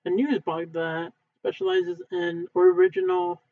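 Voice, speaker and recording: A man reading a textbook aloud in a halting way, stumbling and correcting himself as he goes. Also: very animated, creaky. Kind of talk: unedited read-aloud of a textbook. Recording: thin phone-like low-fidelity sound.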